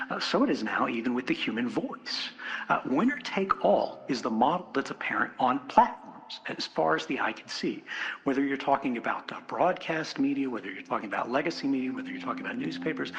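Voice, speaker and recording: A man speaks earnestly to a conference in a medium-pitched voice with wide, expressive swings. He is reflective and builds his points through repetition and rhetorical questions. Music plays clearly underneath. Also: muffled recording. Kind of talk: political keynote speech. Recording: music bed behind speech.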